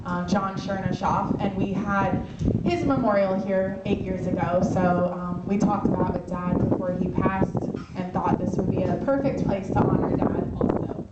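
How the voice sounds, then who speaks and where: A young woman speaks through a microphone at an open-air pavilion, keeping her words light and laughing between lines. The sound is echoey and distant, with loud background noise. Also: medium pitch, fairly even intonation, normal speed, dull audio. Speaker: eulogist, daughter of the deceased. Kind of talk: informal memorial speech. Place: outdoor pavilion. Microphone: microphone through loudspeaker. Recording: echoey, distant, noisy outdoor recording.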